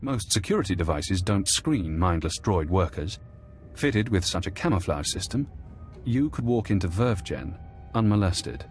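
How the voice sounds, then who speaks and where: A man playing a calm, precise intelligence handler in a scripted game cutscene, speaking in a measured, detached way. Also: sits low, soft background music. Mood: measured, clinical. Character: calm, clinical male intelligence handler and tech expert.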